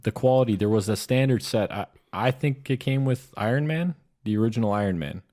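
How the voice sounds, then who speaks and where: A man co-hosting a casual film and gaming chat, talking in a halting way with repeated words. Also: medium pitch, warm tone. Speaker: podcast co-host.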